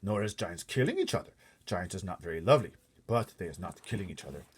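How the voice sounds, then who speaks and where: Big kindly storybook giant played by a man: husky, emphatic, folksy, with mangled grammar and a swooping delivery. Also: low-pitched, very animated, quick pace, exaggerated voice. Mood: earnest, indignant. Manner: muddled grammar and made-up words, emphatic. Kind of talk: storybook character voice.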